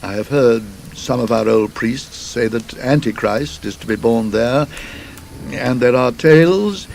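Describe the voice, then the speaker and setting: A man voicing a cautious, evasive Swedish church deacon in a slow, hesitant manner for an audiobook. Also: mid-range voice, gravelly, darkish tone, a little hiss or hum. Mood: guarded.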